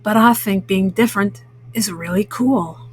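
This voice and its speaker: Woman doing a low-voiced, laid-back storybook tomcat. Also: normal speed, slight background noise, close to an everyday voice. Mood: kind, reassuring. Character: easygoing, kindly male cartoon cat, cool and encouraging.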